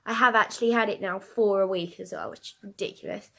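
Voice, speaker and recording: A woman's voice: medium pitch, fast talker, vocal fry, fairly bright tone. A young woman chatting casually in a video diary, congested with a cold and a cough. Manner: chatty and rambling, with many fillers. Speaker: vlogger.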